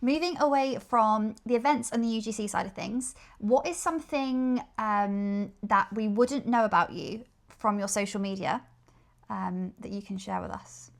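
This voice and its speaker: A woman's voice: medium pitch, normal speed, creaky. A young woman co-hosting a casual podcast, teasing her friends and laughing often. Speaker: co-host.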